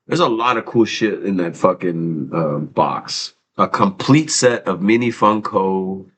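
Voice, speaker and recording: A man's voice: deep voice, expressive, measured pace, a bit bright, slight background noise. A man hosting a casual livestream, rambling and swearing freely, with many fillers and restarts. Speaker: livestream host.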